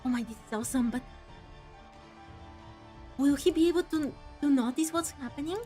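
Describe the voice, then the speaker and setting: High-voiced woman doing game commentary. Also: moderate pace, dark tone, faint music behind. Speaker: gaming video host. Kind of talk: casual game playthrough commentary.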